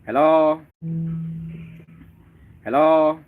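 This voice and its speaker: Male live-stream host, high voice, slow pace, repetitive excitable chat, string music behind. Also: smooth, narrow, tinny audio. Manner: repeats words and calls out greetings.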